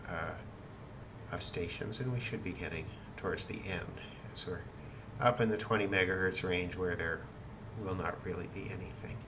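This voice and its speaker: A man's voice: on the low side, noisy background. A man giving a casual, unscripted demonstration, matter-of-fact and thinking aloud as he goes. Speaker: radio hobbyist demonstrating a receiver. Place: indoors at home in a city.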